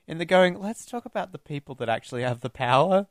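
Lively, animated male podcaster. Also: a little high, fast, no echo, clean studio sound. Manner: rambling, jokey, swears casually. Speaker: podcast co-host. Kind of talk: casual film discussion.

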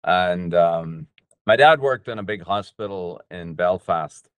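An older man from with a low voice. He tells his own story as an interview guest, pausing often and taking his time. The sound is dry, with hardly any room echo. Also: lively intonation, clear, resonant tone. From Northern Ireland. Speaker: podcast guest, business coach.

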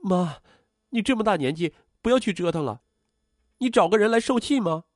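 A high-strung young woman in an audio story, acted out by a male narrator. The voice is pushed up high and swings widely, insistent and emotional. It is recorded very dry. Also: animated, normal speed, dry recording. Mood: anxious protest. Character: young adult daughter, headstrong, emotional, afraid of abandonment.

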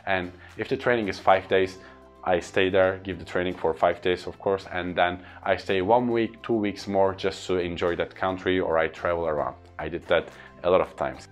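A man with a Turkish accent speaks to camera in an earnest, upbeat way, stacking up lists for emphasis. His voice sits very low. Faint music plays quietly beneath him. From Turkey. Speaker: corporate trainer and speaker. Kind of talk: casual talking-to-camera vlog.

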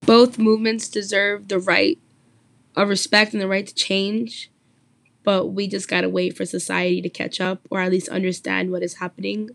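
A woman's voice: medium pitch, level tone, moderate pace, vocal fry, dry recording. A young woman hosting a student discussion podcast, earnest and asking her guests questions. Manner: earnest, asks the panel questions. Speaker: host.

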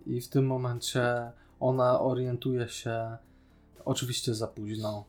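Guy, mid pitch, opinionated n sarcastic podcast chat, bit of music behind. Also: level tone, a little slow, darkish tone. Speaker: podcast co-host reviewing a TV series. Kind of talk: casual critical discussion.

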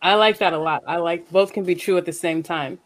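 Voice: medium pitch, steady tone, fairly quick, no room sound. A moderator on a panel discussion, chatty and self-deprecating while asking a question.

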